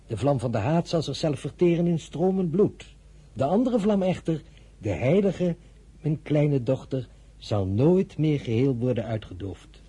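An actor playing a solemn, idealistic father in a radio play, speaking gravely and deliberately with a husky voice. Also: on the high side, big pitch swings, warm tone, exaggerated voice. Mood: grave, impassioned. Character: solemn, idealistic father advising his young daughter.

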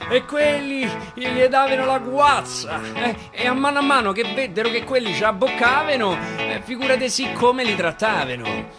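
A man with a Roman accent reciting dialect verse theatrically, swinging from loud declaiming to a hushed whisper. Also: extremely high pitch, animated, normal speed, few pauses. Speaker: actor reciting dialect poetry. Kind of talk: theatrical poetry recitation for radio. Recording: live guitar accompaniment.

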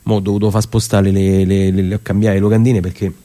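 A man's voice: sits low, level tone, dark tone, natural voice. A man with a Roman accent playing an eager, persuasive younger theatre director in colloquial stage dialogue, often stumbling and repeating his words. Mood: harried, reluctant. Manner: halting, repeats words while explaining. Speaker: actor.

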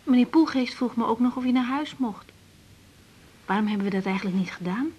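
An actress playing a gentle, concerned young doctor in a radio drama, earnest, soothing and a little hesitant. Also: female voice, fairly high pitch, a little fast, breathy edge. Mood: sincere. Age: young adult.

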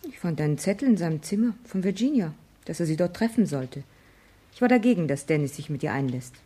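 An actress in a German radio play, playing a weary, self-possessed vicar's wife with a guarded, dry manner. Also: female voice, on the low side, expressive, fairly quick, mellow, husky. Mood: earnest, firm. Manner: guarded, drily rebuffing.

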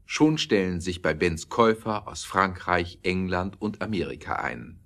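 A man's voice: low-pitched, a little slow, vocal fry, fairly bright tone. A man reading scripted narration as the storyteller of a German audio drama.

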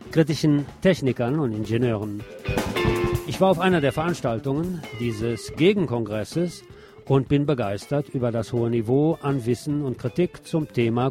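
A man's voice: medium pitch, darkish tone. Man reading radio commentary over music. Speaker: radio host. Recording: music bed under the voice.

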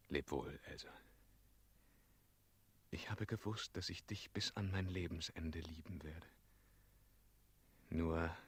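An actor in a 1960s German radio play voicing a mortally wounded, idealistic knight, husky and sorrowful, moving between halting whispers and impassioned declamation. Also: male voice, a bass voice, fairly bright tone, exaggerated voice. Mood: tender, resigned. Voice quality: husky, breathy, gravelly.

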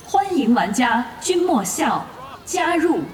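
A woman voicing a calm game system announcer in a formal, measured manner for an audio drama. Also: high voice, noisy background, exaggerated voice. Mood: neutral announcing. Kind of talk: scripted game notifications. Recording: echoey, music loud underneath. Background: loud music.

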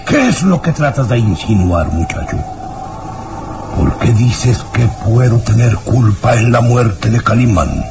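A man's voice: medium pitch, very animated, unhurried, noisy background. A man playing a scornful, arrogant baron with a theatrical, derisive manner in an old radio drama. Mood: haughty disbelief. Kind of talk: old Latin American radio drama acting.

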